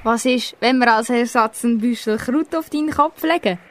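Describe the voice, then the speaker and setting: A timid, helpful animal, played by a teenage girl in an amateur radio play. The voice is fairly high with a Swiss German accent, over clearly audible background noise. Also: normal speed, fairly bright tone.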